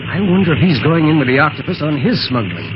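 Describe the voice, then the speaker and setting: An actor playing a steady, commanding adult detective leader in a 1930s radio drama, on a noisy old radio recording. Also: male voice, a little high, fast talker, highs cut off.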